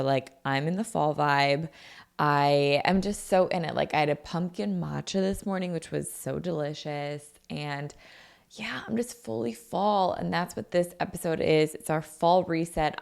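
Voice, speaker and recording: A young woman chats casually into her podcast mic at home, rambling happily with plenty of "like" and "um". Her voice sits low and rough, roughened further by a sore throat. She sounds relaxed and talkative. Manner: chatty, peppered with "like" and "um". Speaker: podcast host. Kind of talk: casual solo podcast chat. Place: her own apartment.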